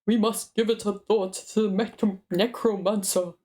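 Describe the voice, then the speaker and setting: A woman voicing a gruff, demanding male dwarf leader with a slow, pointed delivery in a dramatic book reading. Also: mid-range voice, steady tone, a little mellow, natural voice. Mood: grim.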